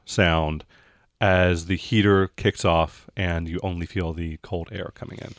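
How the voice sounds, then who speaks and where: A man's voice: sits low, level tone, normal speed, no room sound, studio quality. A man acting as game master, improvising narration for a tabletop mystery game and fielding the players' questions.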